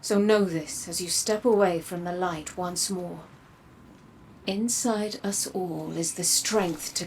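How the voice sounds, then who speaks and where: A woman's voice: mid-range voice, slight background noise, lightly acted. An actress playing a stern, resolute woman leader, delivering a grave, emphatic monologue in a video game.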